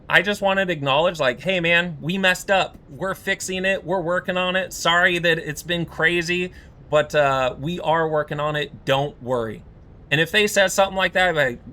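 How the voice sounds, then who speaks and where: A man streaming a game, talking casually, rambling with many fillers while he vents frustration, his voice going hoarse from talking all day. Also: on the high side, sharp tone.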